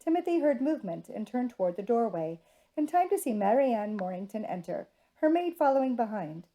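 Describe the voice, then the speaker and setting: Lady reading a novel out loud, really mellow dark voice. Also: a little high, sing-song, brisk, low-quality recording. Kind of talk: scripted storybook narration.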